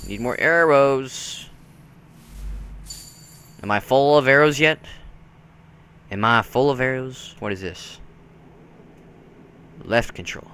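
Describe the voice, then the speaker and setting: A man's voice: mid-range voice, takes it slowly, long pauses, fairly bright tone, slight background noise. A man giving casual commentary while playing through a video game.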